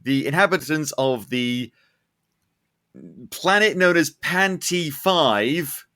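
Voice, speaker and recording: Animated man hosting a chat, bright voice. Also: fairly high pitch, slow. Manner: animated, sweary, jokey mock outrage. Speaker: podcast host. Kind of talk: casual chat, interviewing guests.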